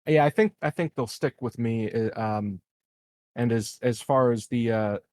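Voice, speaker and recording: A man playing a tabletop game, talking casually and planning aloud in a halting, deliberate way. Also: medium pitch, a little mellow.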